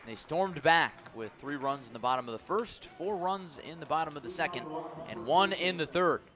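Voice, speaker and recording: A man calling baseball play-by-play on the radio in measured, descriptive, clipped phrases. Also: a little high, expressive, a crowd in the background. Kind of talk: radio sports commentary.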